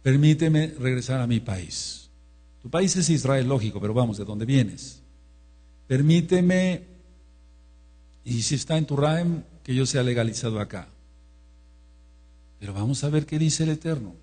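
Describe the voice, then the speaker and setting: Husky emphatic male preacher, humming recording. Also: medium pitch, expressive, unhurried, long pauses. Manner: emphatic, rising to loud exclamations. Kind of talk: sermon. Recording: mains hum.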